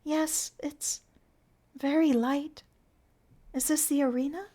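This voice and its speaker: Woman reading as a dying young circus girl, high thin voice, pleading, breathless, childlike. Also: fairly even intonation, slow pace, dark tone. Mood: dreamy.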